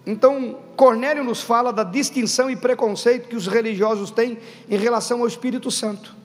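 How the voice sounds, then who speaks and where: A man preaching a Pentecostal sermon to his congregation. He talks emphatically, calls out questions to the room and builds to loud stressed bursts. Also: very high voice, steady tone, fairly quick. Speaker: pastor. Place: church hall. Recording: reverberant hall with faint background music.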